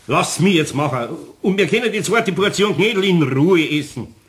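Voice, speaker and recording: A man's voice: high-pitched, expressive, normal speed, fairly bright tone. An actor playing a good-hearted, grumbling older craftsman with a Bavarian accent in a radio play. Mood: stern, determined.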